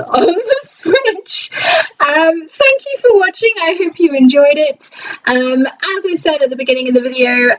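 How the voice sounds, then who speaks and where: A woman's voice: high-pitched, distant mic, lo-fi sound. A woman cosplayer chatting casually to her viewers, hesitant and full of fillers. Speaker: cosplayer and vlogger. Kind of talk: casual video chat to viewers.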